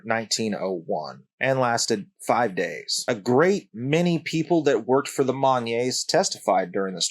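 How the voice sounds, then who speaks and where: A man narrates a strange true story for his own channel, at an ordinary middle pitch. He talks loosely and conversationally, stopping now and then for wry asides aimed straight at the listener. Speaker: channel host.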